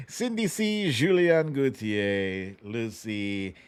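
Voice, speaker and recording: A man's voice: mid-range voice, extremely slow, smooth, no room sound. A man hosting a casual livestream quiz, chatty and playful as he banters and calls out to viewers. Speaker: host of a live online show.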